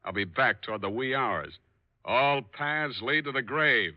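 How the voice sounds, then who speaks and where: Dull vintage radio sound, male actor as hard-boiled detective, brisk, wisecracking, bossy, slangy, mid-pitched. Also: animated, no echo, lightly acted. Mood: brisk, confident. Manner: brisk, sardonic, slangy, ordering people about. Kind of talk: 1940s radio detective drama acting. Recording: dull, lo-fi 1940s radio recording.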